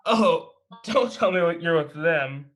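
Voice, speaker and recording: A man's voice: fairly high pitch, animated, a little slow, fairly bright tone, faint music behind. A man playing a chatty, teasing young superhero in an amateur table read, with casual delivery. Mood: mocking.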